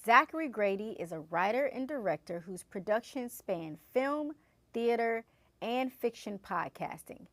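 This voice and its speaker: A woman's voice: a little high, lively intonation, unhurried, fairly bright tone, dry recording. A woman hosting an event, reading scripted announcements and introductions in a polished, presentational way. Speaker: event host introducing a keynote speaker.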